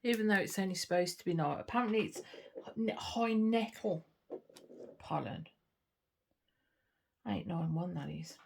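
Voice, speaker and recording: A woman's voice: fairly low pitch, measured pace, vocal fry, pauses often, darkish tone, a little hiss or hum. A woman chatting casually to camera while she works on a craft kit, murmuring and trailing off, a little sniffly. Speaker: craft vlogger.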